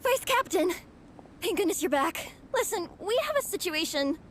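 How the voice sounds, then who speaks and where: A woman voicing an earnest, excitable young female comic fan. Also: extremely high pitch, normal speed, a little hiss or hum. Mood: urgent. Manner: eager, earnest. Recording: game cutscene with background music.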